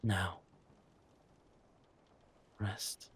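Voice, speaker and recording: A man playing a suave, ruthless villain lord in an audio drama, mock-polite and menacing, with a husky voice and drawn-out delivery. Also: fairly bright tone, a little hiss or hum. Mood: coolly ruthless.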